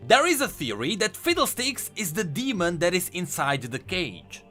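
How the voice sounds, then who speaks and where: A man's voice: high voice, sharp tone. A man hosting a scripted explainer, narrating lore in an emphatic, storytelling way. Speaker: video host and lore commentator.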